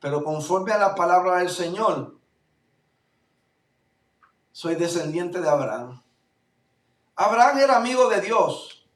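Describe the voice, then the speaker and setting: A male pastor from Puerto Rico with a Puerto Rican accent, preaching a fervent sermon that swings between loud declarations and low asides. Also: expressive, unhurried, long pauses. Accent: Puerto Rican Spanish. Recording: echoey room, voice from far off mic.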